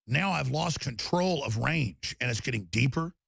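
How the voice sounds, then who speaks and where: A man hosting a talk radio show, raspy and torn-up in tone, rambling emphatically with loud bursts and low mutters. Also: expressive, normal speed, no echo, studio quality. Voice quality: raspy, gravelly voice. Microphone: studio microphone.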